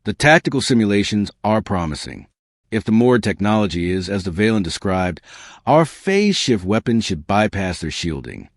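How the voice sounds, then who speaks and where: A man voicing a calm, battle-seasoned fleet commander in his forties in an audiobook. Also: fairly low pitch, moderate pace, no echo, clean studio sound. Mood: calm, measured. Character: battle-seasoned 45-year-old male human fleet commander, calm, resolute. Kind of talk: audiobook character dialogue.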